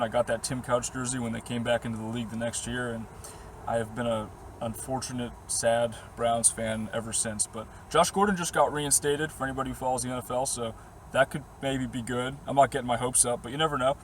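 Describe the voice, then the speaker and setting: A young man from the Boston area, slightly hoarse and losing his voice, chatting casually and rambling through viewer questions with fillers and short laughs inside his camper van. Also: medium pitch, fairly even intonation, brisk, a little hiss or hum. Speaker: vlogger answering viewer questions. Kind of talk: casual chat to camera.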